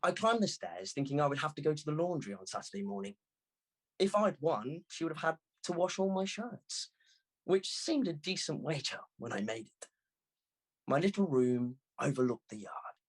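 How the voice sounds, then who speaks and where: A man reading a first-person literary story aloud in an expressive, scripted narration. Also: fairly high pitch, moderate pace, husky. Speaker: audiobook reader.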